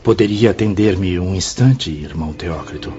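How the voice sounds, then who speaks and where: A man's voice: low voice, moderate pace, warm tone, natural voice. A Brazilian actor playing a remorseful, desperate male spirit in a radio drama, pleading in a formal, theatrical, anguished way. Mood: troubled, hesitant. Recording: dull lo-fi audio under clear music.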